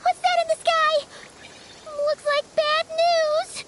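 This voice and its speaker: An actress voicing a tiny, excitable floating fairy companion in a video game, with squeaky exclamations. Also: female voice, slow pace. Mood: alarmed.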